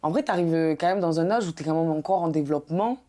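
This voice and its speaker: A woman's voice: low-pitched, fast talker, mellow. A woman interviewing a guest in a chatty, slangy way, reacting eagerly to his stories. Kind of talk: casual interview chat.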